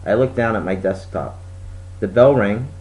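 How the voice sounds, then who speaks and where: A man's voice: fairly low pitch, expressive, normal speed, a little mellow, low-quality recording. A man reading a children's story aloud as a scripted narration. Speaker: storybook reader.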